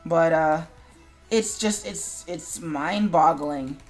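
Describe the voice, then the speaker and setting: A woman's voice: deep voice, slow pace, soft background music. An American woman streaming casual, opinionated chat in a sarcastic, blunt manner. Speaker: streamer, show host.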